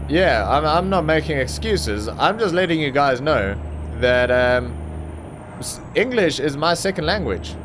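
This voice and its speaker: A man's voice: mid-range voice, background noise. A young man from Africa, an Afrikaans speaker, rambling through casual commentary on a truck driving game with plenty of ums and restarts. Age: young adult. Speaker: gaming channel host.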